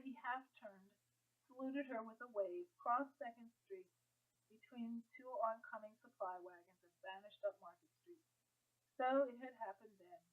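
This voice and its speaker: A woman's voice: a little high, phone-quality sound. A woman reading a historical novel aloud as scripted audiobook narration.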